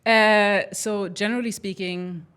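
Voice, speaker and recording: A woman biologist talking in a casual discussion, measured and hesitant, with many fillers. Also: medium pitch, fairly even intonation, fairly bright tone, smooth.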